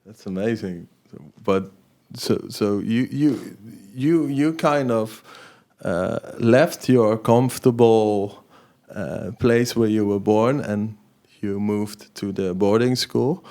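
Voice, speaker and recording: A man's voice: lowish voice, slow pace, warm tone. A man hosting a podcast interview, hesitant and full of restarts. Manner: hesitant, many restarts and laughs. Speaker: podcast host.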